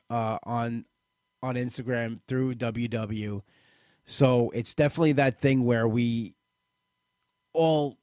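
A man in his late thirties sharing his weight-loss journey in a halting, personal way, often restarting and repeating words. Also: mid-range voice, no room sound, clean studio sound. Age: late 30s. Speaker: weight-loss program member. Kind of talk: personal testimonial talk.

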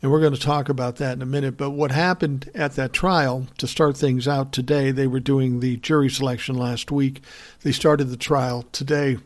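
A man's voice: medium pitch, flat delivery, normal speed. A man hosting a political podcast, giving blunt, profane opinion commentary and answering listener messages in a conversational way.

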